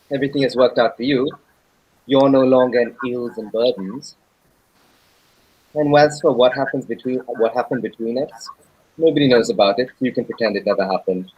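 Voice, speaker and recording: A man's voice: mid-range voice, darkish tone, breathy edge, dull audio, natural voice. A man playing a bitter, wounded teenage schoolboy in a dramatic book reading, accusing and pointed. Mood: hurt, resentful.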